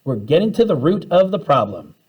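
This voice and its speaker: Guy doing a quirky unflappable lady teacher from a kids storybook, mellow but swooping all over. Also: a little high, sing-song, brisk, slightly rough, voice pushed up, low-quality recording. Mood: assured, explaining. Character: eccentric, upbeat, unflappable woman schoolteacher. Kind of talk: children's storybook read-aloud.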